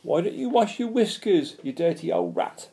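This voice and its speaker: A man's voice: on the high side, fast, gravelly, darkish tone, husky, pitched above the performer's own voice. A man voicing a cheeky little fairy imp who jeers and taunts in a storybook tale. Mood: mocking. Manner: jeering, taunting.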